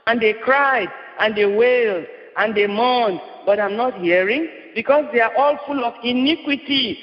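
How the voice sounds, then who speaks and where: High-voiced man preaching prophecy. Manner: impassioned, repetitive declamation. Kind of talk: prophetic sermon. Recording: muffled, reverberant hall.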